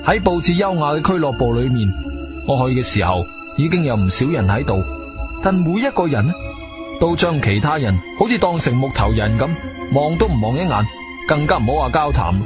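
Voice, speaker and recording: A man's voice: a little high, big pitch swings, fairly quick. An actor with a Guangzhou Cantonese accent playing an inquisitive, self-assured investigator who tells his own story in a theatrical radio drama style. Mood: reflective narration. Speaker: narrator and lead actor. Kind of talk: Cantonese radio drama, first-person narration and dialogue. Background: music.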